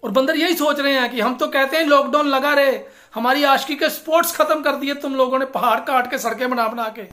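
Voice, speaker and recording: A man in his thirties doing a stand-up comedy monologue to camera in a small room, animated and switching into voices. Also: very high voice. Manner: animated, gesturing, quick shifts into character voices.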